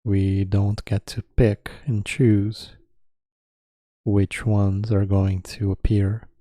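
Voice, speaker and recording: Male meditation guide: low, very mellow, even delivery, calm and unhurried with long pauses. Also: no echo, studio quality.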